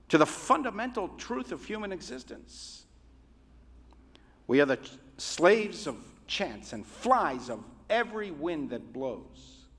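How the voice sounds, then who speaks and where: A rabbi giving a sermon in a measured, oratorical way, with deliberate pauses. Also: male voice, a little high, a bit bright. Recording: reverberant hall.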